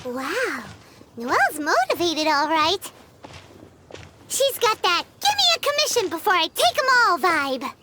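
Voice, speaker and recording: A woman voicing a tiny, childlike, cheeky and excitable companion creature in a video game, with a squeaky delivery. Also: unhurried, heavy background noise, exaggerated voice. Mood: amused, cheeky.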